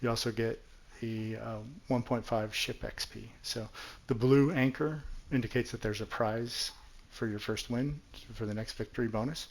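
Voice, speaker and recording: A man's voice: mid-range voice, steady tone, normal speed, few pauses. A man from New Mexico giving chatty, self-deprecating commentary over his own gameplay as the host of a gaming channel.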